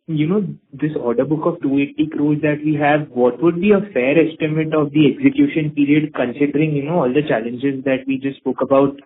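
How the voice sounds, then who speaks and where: A man with an Indian accent putting polite, structured questions as an analyst on an earnings call. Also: a little high. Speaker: financial analyst asking questions. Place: conference call. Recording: narrowband phone conference line.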